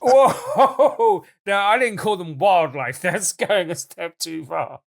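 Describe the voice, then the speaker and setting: A man's voice: high voice, animated, slow pace, gravelly. An English man co-hosting a podcast, laughing often and dropping wry quips. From England. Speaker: podcast co-host. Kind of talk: casual chat.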